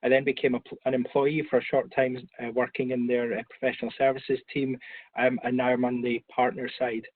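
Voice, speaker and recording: Man hosting an online meetup, relaxed and halting, tinny call audio. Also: mid-range voice, dry recording. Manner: hesitant, many fillers. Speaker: meetup host. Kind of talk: casual chat. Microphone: video call. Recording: phone-like online meeting audio.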